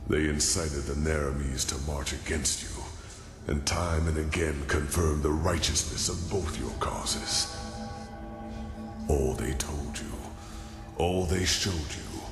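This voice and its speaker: A man playing an ancient, weary sage in a fantasy game, speaking gravely and deliberately in a gravelly voice. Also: very deep voice, steady tone. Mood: grave, resigned. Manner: grave, slow, deliberate, brooding. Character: ancient weary male sage revealing bleak cosmic truths. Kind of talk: solemn dramatic game monologue. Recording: echoey voice over clear background music.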